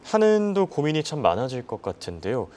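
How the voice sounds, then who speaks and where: A man's voice: mid-range voice, wildly swooping pitch, brisk, a little mellow. A man anchoring a broadcast news program, interviewing in a polite, formal manner. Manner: polite, formal, brief acknowledgements.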